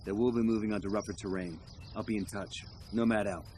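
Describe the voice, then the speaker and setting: An actor as a special forces leader in a video game, dry and clipped. His voice is lowish and quick, with clear noise around it. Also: fairly even intonation, close to an everyday voice. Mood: terse, businesslike. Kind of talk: video game radio dialogue. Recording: noisy field radio scene.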